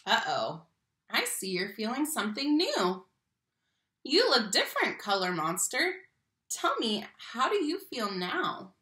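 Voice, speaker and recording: Bright, high-voiced young woman reading to children. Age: young adult. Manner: animated, slow emphatic phrasing on key words, addresses children directly. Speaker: read-aloud teacher and storyteller. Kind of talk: expressive picture-book read-aloud for young children. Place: small room at home, indoors. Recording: roomy home webcam sound.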